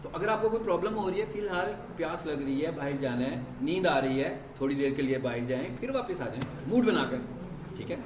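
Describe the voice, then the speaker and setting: Male classroom teacher, high-pitched, rhetorical question-and-answer delivery, strict; echoey room. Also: lively intonation, brisk. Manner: explains through rhetorical questions and answers them himself; stern with students about discipline. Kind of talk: classroom lecture. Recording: echoey classroom with faint background noise.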